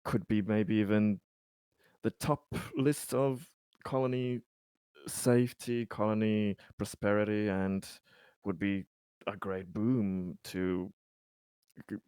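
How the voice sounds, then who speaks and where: A man playing an earnest, diplomatic colony official in a tabletop roleplay, speaking formally in wordy, halting phrases. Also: lowish voice, flat delivery, mellow, clean tone, no room sound. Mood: hopeful, deliberate. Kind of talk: improvised tabletop roleplay dialogue.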